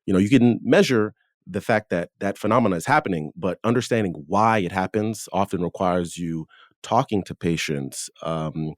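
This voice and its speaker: A man's voice: low-pitched, no echo, clean studio sound. A man hosting an academic interview podcast, talking conversationally and reflectively as he builds on points in the discussion. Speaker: podcast host, dermatologist.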